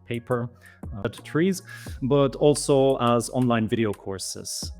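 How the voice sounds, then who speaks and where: Guest: man, mid-pitched, patient explainer, faint music behind. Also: slow pace, darkish tone. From southeast Germany. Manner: thoughtful, explanatory, lightly amused. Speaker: guest, language course author. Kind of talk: conversational interview answers.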